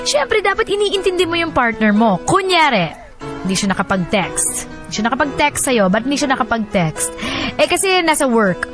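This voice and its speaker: A woman radio host with an expressive delivery, presenting relationship advice and letters on air. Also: high voice, normal speed, music in the background.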